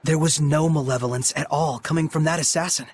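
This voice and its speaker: An actor playing an earnest, kindhearted young shepherd hero in a video game, speaking casually and sincerely. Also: male voice, on the high side, fairly even intonation, a little fast, a little hiss or hum, natural voice. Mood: pensive. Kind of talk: English video game dub acting.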